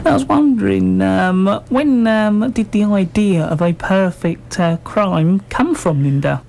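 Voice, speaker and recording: A male radio host with a fairly high voice for a man. He puts his interview questions in a halting, hesitant way, with slight noise behind him. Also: vocal fry, darkish tone.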